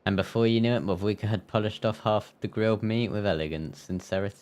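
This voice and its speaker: A man's voice: lowish voice, steady tone, quick pace, darkish tone. A man live-streaming a game, reading dialogue aloud and reacting with playful banter.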